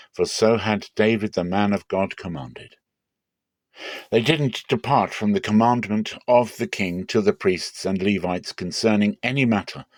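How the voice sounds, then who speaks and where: A man narrating a dramatic Bible reading in a measured, solemn, clearly phrased manner. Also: on the low side, no echo.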